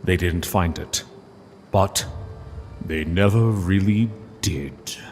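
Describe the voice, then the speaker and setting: A man reading a literary story as narrator, solemn and deliberate, with dramatic pauses. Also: sits low, darkish tone, long reverb tail, slight background noise.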